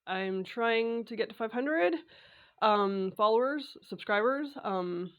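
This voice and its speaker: A woman vlogging about her sewing, her voice raspy and congested as she gets over a cold, chatting casually with lots of filler sounds and restarts. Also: fairly high pitch, lively intonation, slow, dull audio. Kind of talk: casual talk to camera.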